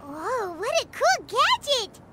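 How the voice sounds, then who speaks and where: Casting: excitable little fairy sidekick for a game, extremely high squeaky voice, very animated. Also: female voice, slow, exaggerated voice. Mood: amazed. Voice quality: squeaky, childlike. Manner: chirpy, speaks of herself in third person. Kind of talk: video game voice acting.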